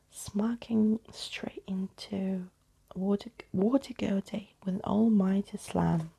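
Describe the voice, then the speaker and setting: A woman reading a story aloud in a soft ASMR style, hushed and often half-whispering. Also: medium pitch, gravelly, breathy edge.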